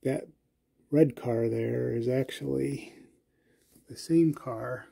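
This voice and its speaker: Slow, gravelly man narrating a tour. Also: warm tone. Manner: slow, low-key, listing items with pauses and fillers. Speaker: collector giving a tour. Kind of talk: casual walkthrough narration.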